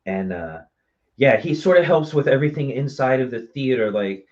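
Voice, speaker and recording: A man's voice: medium pitch, lively intonation, normal speed, a little mellow, dull audio. A man talking casually and at length as a guest in an interview, chatty and enthusiastic about his work. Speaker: interview guest from a small theater company. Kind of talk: casual live interview talk.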